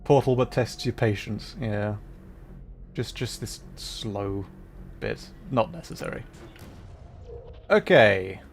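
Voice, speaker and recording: A man's voice: on the low side, animated, slow, pauses often. Casual male game streamer over music. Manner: rambling, thinking aloud while playing. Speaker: livestreamer. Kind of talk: casual live game commentary, chatting to viewers.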